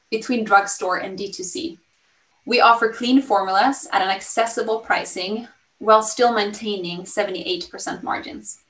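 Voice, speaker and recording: A woman in her thirties from Sweden with a Swedish accent, pitching her startup on a video call in an upbeat, fluent way with frequent ums. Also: a bit bright. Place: home room, indoors. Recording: roomy and slightly distant.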